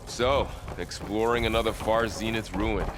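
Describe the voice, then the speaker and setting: A calm male expedition companion, played by a game actor, talks in a fairly low voice over music. He is inquisitive and easygoing, a video game ally who wonders about what he finds. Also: moderate pace, lightly acted. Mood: calm, curious.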